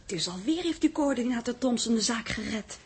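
An inquisitive female assistant, fairly high-pitched yet very husky. She is lightly teasing and conversational, in the manner of an old 1960s radio serial. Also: expressive, a little fast. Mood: curious. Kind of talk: 1960s radio drama acting.

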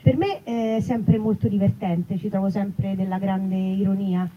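A woman's voice: mid-range voice, fairly even intonation, unhurried. A woman speaking conversationally as a writer and actress presenting her book at a live event. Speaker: writer and actress, festival guest. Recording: slightly muffled live event, faint noise.

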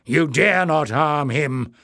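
A man voicing an angry, gruff adventurer who shouts defiance at his captors. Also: a little high, takes it slowly, dry recording, clean studio sound, voice pushed up, heavily acted. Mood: defiant. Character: furious gruff male adventurer.

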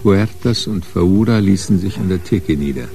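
Male narrator for radio crime drama: very low, dark, relaxed delivery of literary prose, faint music underneath. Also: moderate pace, gravelly.

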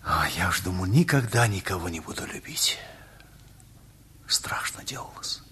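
An actor playing a tender, plain-spoken middle-aged husband with a very husky, breathy voice and sincere manner in a radio play. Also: male voice, deep voice, very animated, moderate pace, sharp tone, whispered, full character voice.

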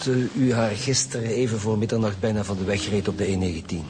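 An actor playing a blunt, sarcastic chief police inspector in a radio drama, with a Flemish accent. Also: male voice, fairly low pitch, steady tone, quick pace, noisy background, close to an everyday voice. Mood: businesslike. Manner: gruff, sarcastic, colloquial. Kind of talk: naturalistic radio drama acting.

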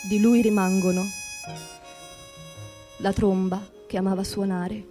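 A woman narrates a scripted theatrical piece. Her delivery is solemn and measured, each phrase set down deliberately. Soft music runs faintly behind the voice. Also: mid-range voice, flat delivery. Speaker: stage narrator.

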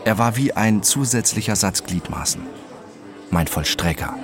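An actor playing an introspective, obsessive man who narrates his own story in a radio drama, deliberate in his delivery. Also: on the low side, lively intonation, over music. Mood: reflective.

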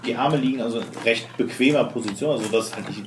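A man acts as game master, describing scenes and rulings to his players, often rewording as he goes. His pitch is average for a man. The recording is roomy and clearly noisy. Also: quick pace. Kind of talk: narrating and refereeing a tabletop role-playing game. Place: indoor room with other players.

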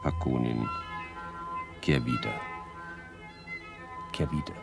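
An actor playing a solemn poet, reciting dramatic verse in measured, declamatory short phrases for a radio play. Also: male voice, very deep voice, fairly even intonation, gravelly, over loud music, heavily acted. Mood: insistent, mournful.

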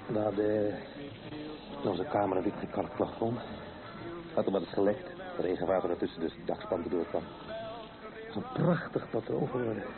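A man's voice: fairly low pitch, moderate pace, pauses often, narrow, tinny audio, natural voice. An actor playing a young husband and expectant father in a radio play, affectionate and eager, talking in an intimate, conversational way. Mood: affectionate, reminiscing. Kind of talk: naturalistic radio play dialogue. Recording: thin old radio recording, music behind.

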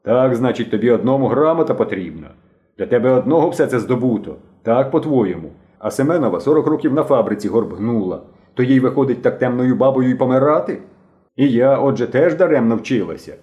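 Male narrator as stern old aunt, working-class, grumbling, emphatic, indignant, storybook character, mellow tone. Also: on the low side, expressive, highs cut off, close to an everyday voice. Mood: indignant scolding. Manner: slow, emphatic, reproachful delivery.

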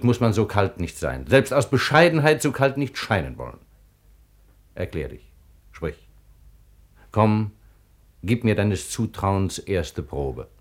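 Slow, lively-pitched commanding sultan. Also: male voice, heavily acted. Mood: gently chiding. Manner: regal, measured, declamatory. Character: magnanimous, commanding sultan, mature and fatherly. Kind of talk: 1950s German radio play acting.

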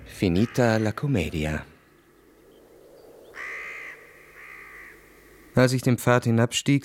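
A man's voice: lowish voice, a little mellow, no echo, clean studio sound, lightly acted. An actor playing a brooding, world-weary young Russian officer who tells his own story in a dramatic literary radio play. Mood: detached, weary.

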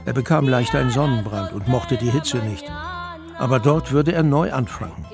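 A man's voice: mid-range voice, moderate pace, gravelly. A man narrating a scripted audio drama. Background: music.

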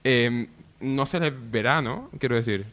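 A man's voice: mid-range voice, unhurried, fairly bright tone. A young man with an accent from Spain, joking casually as a panelist on a comedy radio show. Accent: Spanish from Spain. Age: young adult.

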